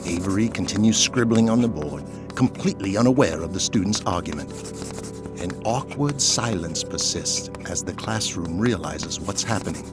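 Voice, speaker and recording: A man's voice: low voice, lively intonation, moderate pace, music in the background. A man reading scripted narration for an audio drama.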